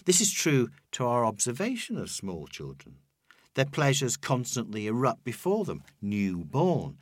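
A man reads a scripted literary essay in a medium-pitched voice. The delivery is measured and reflective, gently instructing the listener and slipping into quoted lines of verse. The sound is dry, with no room around the voice. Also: no room sound. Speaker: author reading their own audiobook.

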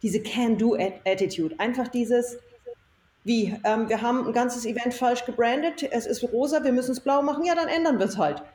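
Echoey, mellow-voiced enthusiastic woman. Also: a little high, moderate pace. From Germany. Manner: enthusiastic, emphatic. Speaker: interview guest, self-employed former marketing executive. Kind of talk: conversational storytelling interview. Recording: echoey room, faint noise.

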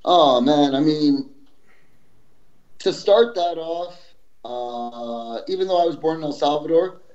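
Dull, lo-fi audio carries a fairly high-pitched man from Canada. He is a podcast guest chatting casually, with loose slangy phrasing and the odd swear word. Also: slow, darkish tone.

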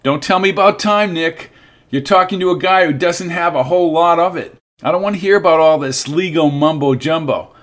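A man's voice: a little high, moderate pace, fairly bright tone, natural voice. A man reading the part of a weary, restless man from California who talks emphatically and sardonically. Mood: urgent.

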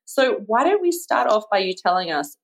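A woman's voice: medium pitch, big pitch swings, moderate pace, gravelly, low-quality recording. A woman hosting a podcast interview, upbeat and laughing often. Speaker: podcast host.

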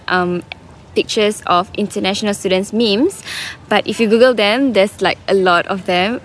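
A young woman from Singapore tells a personal story to a live audience. She speaks candidly, pausing on fillers and restarting her sentences, at an ordinary mid pitch. A faint hum of the room sits behind her voice. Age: young adult. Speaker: student storyteller.

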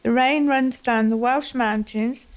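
A woman's voice: on the high side, steady tone, measured pace, close to an everyday voice. A woman acting in a radio play as a thoughtful townswoman observing her home. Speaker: community theatre actor.

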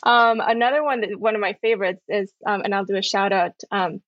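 A woman from Peru, a guest on a podcast, cheerful and halting with many fillers. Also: mid-range voice, fairly even intonation, dry recording, lo-fi sound. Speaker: employee resource group site lead.

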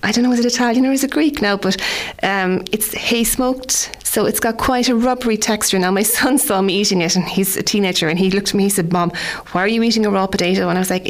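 An Irish woman in her forties, chatting casually on radio about food, rambling with frequent restarts. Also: medium pitch. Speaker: food contributor.

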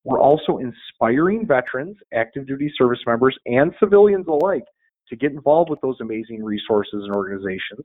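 A man talks as a guest in a casual, upbeat way, stumbling over repeated words as he plugs his links. His voice sits at a middle pitch and comes through a narrow, phone-like band. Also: animated, a little fast. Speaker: podcast guest. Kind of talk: casual promotional interview talk. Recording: phone-like band-limited audio.

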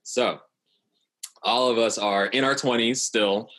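A man's voice: medium pitch, steady tone, takes it slowly, a bit bright. A man in his late twenties hosting a panel conversation in long, flowing sentences. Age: late 20s. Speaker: podcast host.

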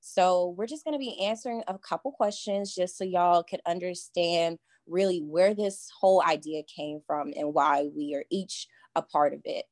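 Earnest young woman, low and dry. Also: fairly even intonation, a little mellow, no echo. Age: young adult. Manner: earnest, measured, repeats herself to stress points. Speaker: podcast host. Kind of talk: podcast introduction.

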